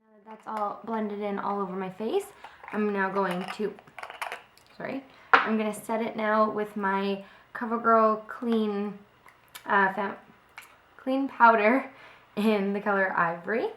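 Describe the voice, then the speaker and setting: A woman's voice: medium pitch, fairly even intonation, measured pace, long pauses, noisy background. A young woman who is a little sick, chatting casually through a makeup tutorial with frequent ums, filmed on a close webcam in her home kitchen. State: slightly sick, voice a bit off. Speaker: beauty vlogger. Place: home kitchen by a window, indoors. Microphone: webcam at close range. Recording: echoey room sound.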